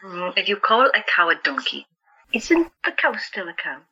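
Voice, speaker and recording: A woman's voice: medium pitch, big pitch swings, moderate pace, sharp tone, husky, muffled recording, heavily acted. A woman playing a stubborn young sister plagued by visions in an audio drama, speaking earnestly and insistently. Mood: defiant.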